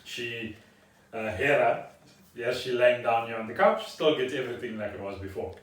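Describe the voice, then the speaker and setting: A man's voice: medium pitch, moderate pace. A man chatting casually as a podcast co-host at home. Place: indoors at home. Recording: roomy, distant from the mic.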